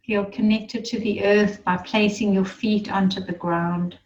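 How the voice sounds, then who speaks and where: A woman leading a guided meditation in a soothing, unhurried manner, with gentle instructions and pauses. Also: medium pitch, fairly even intonation, recorded from a distance, lo-fi sound.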